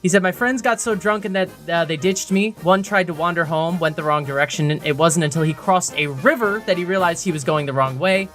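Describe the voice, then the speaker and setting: A man's voice: sits high, fairly quick, hardly any pauses, a bit bright, soft background music. A man co-hosting a podcast in a casual, informal, conversational way. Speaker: podcast co-host.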